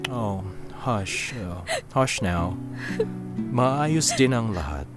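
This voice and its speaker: Slow, low-voiced gentle father. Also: expressive, loud music behind the voice. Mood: tender, reassuring. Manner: soothing, tender, code-switches into English. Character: gentle, doting father of grown twin daughters. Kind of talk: radio drama acting.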